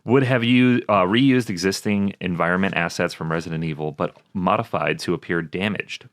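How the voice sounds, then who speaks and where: A man's voice: sits low, moderate pace, no room sound, clean studio sound. A man co-hosting a podcast, switching between casual, joking banter and reading from notes. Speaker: podcast co-host.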